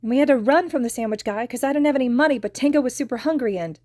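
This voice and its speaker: A woman voicing a little girl, high and wobbly, angry and near tears, mellow, dry sound. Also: expressive, normal speed, slightly rough, no room sound. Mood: upset. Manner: wobbling between anger and tears. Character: tearful angry girl around seven.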